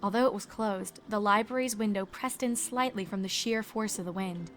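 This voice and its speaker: A woman's voice: medium pitch, normal speed, fairly bright tone, faint music behind. A woman reading scripted story narration as the narrator.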